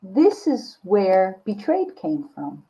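An older woman speaks in a husky, slightly rough voice at a middle pitch. She is an artist talking casually about her own work on a video call, animated and unguarded in her wording. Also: slow pace, low-quality recording. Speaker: visual artist, guest. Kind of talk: casual chat explaining own artwork. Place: book-lined home studio, indoors. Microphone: computer mic on a video call.

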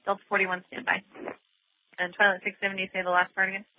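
A woman's voice: mid-range voice, completely flat delivery, fast. A woman working as an air traffic controller, giving radio instructions and casual operational replies. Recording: tinny air traffic radio with faint noise.

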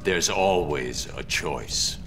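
An actor playing a stern, brooding masked vigilante in a video game, speaking in a terse, grave manner. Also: male voice, low voice, takes it slowly, creaky, music in the background, heavily acted. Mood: reproachful.

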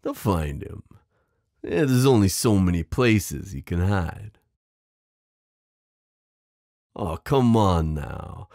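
A man voicing a smooth, possessive mafia boss in an ASMR roleplay, menacing yet protective, teasing the listener with pet names. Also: deep voice, very animated, slow pace, darkish tone, no room sound, studio quality, heavily acted. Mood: firm, condescending. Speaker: audio roleplay performer. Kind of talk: ASMR roleplay drama acting.

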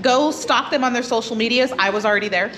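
A woman's voice: on the high side, quick pace. A woman hosting a casual chat, talking in a chatty way and often giggling. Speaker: host. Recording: noisy background.

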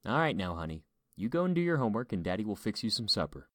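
A man's voice: fairly low pitch, big pitch swings, fast, fairly bright tone, no echo, studio quality. A man voicing a tender, protective father and husband in an audiobook story, earnest and anxious. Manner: earnest, emphatic.

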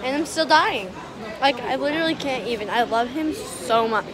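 A teenage girl vlogging on a phone held at arm's length in a busy restaurant, gushing and giggly as she talks fast and excitedly. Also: sits high, a crowd in the background. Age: teens. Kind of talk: excited casual vlog chat. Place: indoor restaurant at a competition venue.

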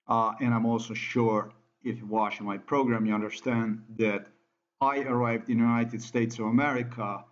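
A man from Slovenia with a Slovenian accent, speaking English as a second language in a halting monologue with many fillers and restarts. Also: mid-range voice, fairly even intonation, lo-fi sound. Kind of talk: monologue over a screen recording.

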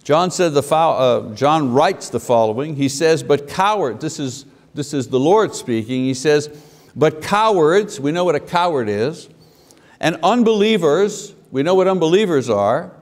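Animated man preaching, lapel mic in church. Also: fairly high pitch, measured pace. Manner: animated, gesturing, asks the congregation questions. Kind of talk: sermon. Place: church sanctuary at a wooden pulpit. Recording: long hall reverb.

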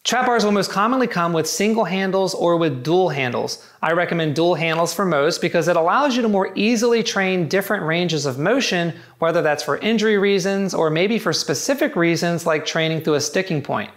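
A man's voice: high voice, expressive, a little fast, few pauses, fairly bright tone. A man giving a scripted, matter-of-fact review of home gym barbells. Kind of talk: scripted product review narration.

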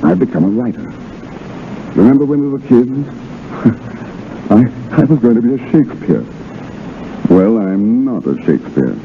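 An actor in a 1930s radio drama playing a reserved war veteran turned writer, halting and evasively cheerful. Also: male voice, mid-range voice, animated, a little hiss or hum. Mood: evasive, affable. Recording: muffled old 1930s radio recording, music behind.

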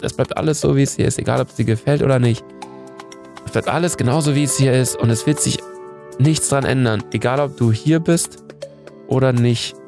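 A young man with a cold, excitedly playing a meme quiz on stream and reading the answers aloud, talking into a close studio mic. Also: medium pitch. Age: young adult. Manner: excitable, reads answers aloud, swears casually. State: has a cold. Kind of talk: casual gaming commentary. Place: indoor room at a desk. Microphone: studio mic near the face. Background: background music.

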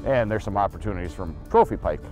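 A man's voice: on the low side, expressive, mellow, soft background music. A man interviewing a guest outdoors, posing short prompting questions and naming the guest. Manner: brisk, prompting, addresses the guest by name.

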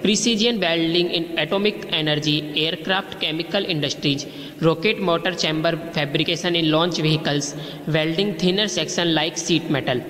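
Man, Indian accent, lecture, reading slides, high voice, roomy echo. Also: fairly bright tone. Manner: reads lists slowly, with restarts. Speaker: teacher.

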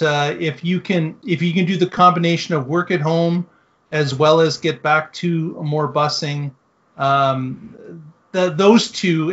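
A man speaking in a measured, hesitant way full of fillers in a panel chat over a video call. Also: a little high, fairly bright tone. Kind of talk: casual panel discussion. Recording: dull lo-fi video call audio.

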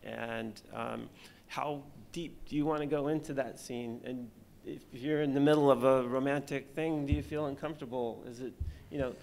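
Gravelly middle-aged man, halting panel talk. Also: medium pitch, fairly even intonation, a little mellow. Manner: halting, many fillers and restarts. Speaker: panelist, film director. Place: conference stage, seated at panel table. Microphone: table mic on stand.

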